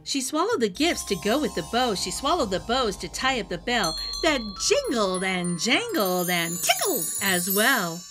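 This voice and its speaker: Very high, animated woman reading a storybook. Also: moderate pace, few pauses. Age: middle-aged. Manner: animated, sing-song delivery for young children. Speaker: children's book read-aloud host. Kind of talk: expressive rhyming storybook narration. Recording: music behind the voice.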